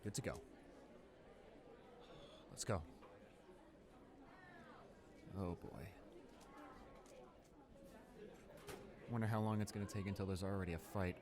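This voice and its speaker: A young man playing a friendly, easily flustered high school senior in a roleplay audio drama, with an awkward, rambling, hesitant way of talking. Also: close to an everyday voice. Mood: grumbling, resigned. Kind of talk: roleplay audio drama, casual conversational acting.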